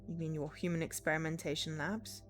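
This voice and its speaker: A defiant young woman held captive in a cyberpunk game, voiced by a woman. She is blunt, suspicious and fairly low in pitch, with faint music behind her. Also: flat delivery, fairly quick, lightly acted.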